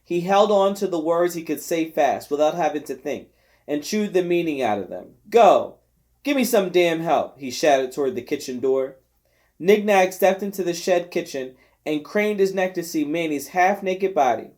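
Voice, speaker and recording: A man narrating a novel aloud in an expressive storytelling style with deliberate phrasing. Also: a little high. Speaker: volunteer reader of a novel. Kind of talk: scripted audiobook narration.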